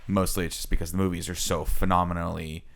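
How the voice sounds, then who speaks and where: A man's voice: sits low, level tone, fast, vocal fry. An American man in his thirties co-hosting a casual panel podcast, earnestly explaining and posing questions in a rambling way. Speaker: podcast co-host introducing his topic.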